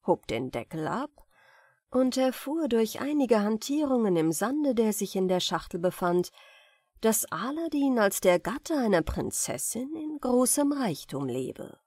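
Lively female fairy-tale narrator. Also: a little high, a little slow, dry recording, clean studio sound. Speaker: audiobook narrator.

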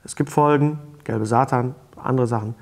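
Middle-aged man hosting, gravelly edge. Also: medium pitch, steady tone, normal speed, a little mellow. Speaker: talk show host. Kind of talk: casual presenting and chat. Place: small studio set, seated at a table. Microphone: lapel mic.

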